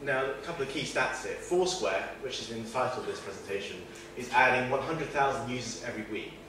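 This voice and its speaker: A man's voice: medium pitch, lively intonation, quick pace, pushed and bright, far from the mic. A man giving an informal talk to a meetup audience, chatty and self-deprecating, with many fillers and restarts. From not from northern England. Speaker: presenter at a meetup. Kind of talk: informal presentation. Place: event room with audience. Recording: very echoey room, faint noise.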